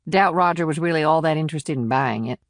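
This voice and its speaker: Man voicing a wry small-town policewoman, lifted pitch. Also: quick pace, no room sound, clean studio sound, lightly acted. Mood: matter-of-fact, jaded. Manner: clipped sentences, folksy and matter-of-fact. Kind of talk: audiobook character dialogue.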